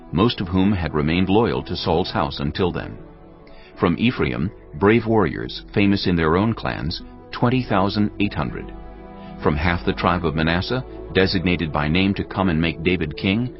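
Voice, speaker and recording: A man narrating scripture from a script in a measured, solemn manner. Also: sits low, expressive, highs cut off. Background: background music.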